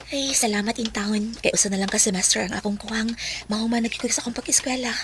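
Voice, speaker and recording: A woman's voice: on the high side, steady tone, fast, natural voice. A woman playing an emotional young student, heartbroken and remorseful, in a radio drama. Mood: shy, tender. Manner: emotional, melodramatic. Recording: radio drama with music bed.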